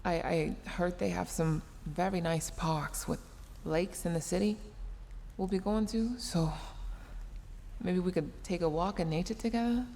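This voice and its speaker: Sci-fi audio drama: actress as young female mech engineer, tsundere, stammering and emotional, gruffly caring, slightly low pitch, noisy background. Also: measured pace, dark tone. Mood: flustered, shy. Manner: huffy, flustered, stammering, emotional delivery. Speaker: voice actor. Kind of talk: sci-fi audio roleplay, addressed to the listener. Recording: long reverb, clear background noise.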